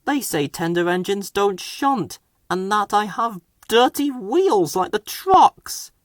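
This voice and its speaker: A man voicing a gentle, meek train engine in a storybook, soft and pleading. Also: high-pitched, very animated, slow, no echo, clean studio sound, pitched above the performer's own voice, exaggerated voice. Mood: sad. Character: gentle old blue engine, meek and hurt.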